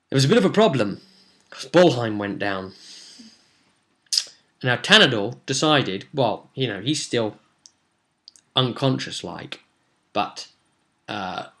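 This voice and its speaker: A man's voice: mid-range voice, sharp tone. Dramatic young storyteller man. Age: young adult. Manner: rambling, dramatic storyteller, gesturing. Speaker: vlogger and game master. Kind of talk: animated casual recap of a tabletop role-play session. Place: small room at home, indoors.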